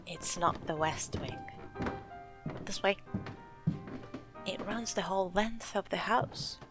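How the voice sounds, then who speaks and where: A woman's voice: mid-range voice, slow, lightly acted. A woman playing a wealthy, playful host of a country house in an audio drama, self-assured and teasing. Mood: proud. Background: music.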